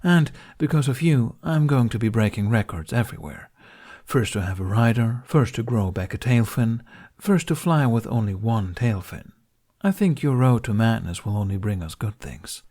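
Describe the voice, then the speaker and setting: A man's voice: medium pitch, animated, moderate pace, warm tone, lightly acted. A man voicing a proud, protective, dryly sarcastic dragon in an audiobook, speaking in blunt, formal grumbles. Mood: wry boasting. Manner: grumbling, declarative, formal phrasing.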